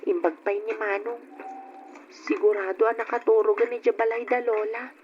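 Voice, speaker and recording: A woman playing a timid, respectful young goddaughter in a radio drama, with a soft, hesitant way of speaking. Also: a little high, level tone, normal speed, lightly acted. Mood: quiet musing. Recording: dull, noisy old radio recording.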